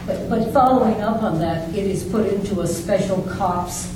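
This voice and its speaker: Insistent woman in an echoey hall. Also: mid-range voice. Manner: insistent, halting follow-up. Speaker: board member. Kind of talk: questioning at a public meeting. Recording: echoey hall, distant mic.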